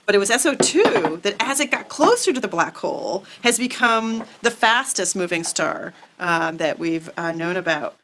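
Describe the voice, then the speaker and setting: A woman's voice: medium pitch, animated, moderate pace, few pauses, noisy background. A woman speaking unscripted as an interviewed scientist, explaining and recalling her research. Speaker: astronomer interviewed as expert.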